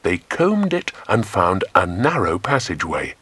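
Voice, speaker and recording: A man with an English accent narrating a children's adventure story in a measured, dramatic storytelling manner. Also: low voice, huge pitch swings, fairly bright tone, slightly rough, dry recording. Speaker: audiobook narrator.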